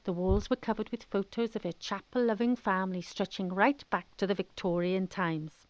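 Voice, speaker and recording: A woman's voice: mid-range voice, steady tone, normal speed, no echo, rough recording. A woman with a Welsh accent giving scripted comic narration for a radio drama, colloquial and wry. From Pembrokeshire, Wales. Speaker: storyteller.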